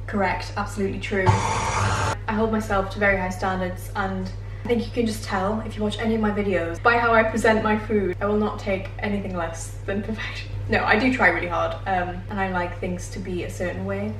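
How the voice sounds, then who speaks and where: A woman's voice: mid-range voice, fairly even intonation, fairly quick. A woman in her twenties with a British accent, chatting casually and self-deprecatingly to camera as a vlogger. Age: 20s. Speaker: vlogger answering viewer assumptions while baking. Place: home kitchen, indoors. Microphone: camera mic at a short distance. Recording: roomy, distant mic, faint background noise.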